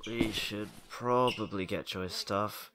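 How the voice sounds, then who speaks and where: A deep-voiced young male student, played by a man, grumpy and blunt. He talks slowly and deadpan, as if he would rather be asleep. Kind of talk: audio drama acting.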